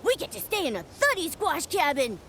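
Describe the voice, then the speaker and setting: A woman voicing a small, cute, excitable creature sidekick in a video game, with a squeaky voice and playful delivery. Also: a little fast, a little hiss or hum, heavily acted. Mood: excited, curious. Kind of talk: video game character dialogue.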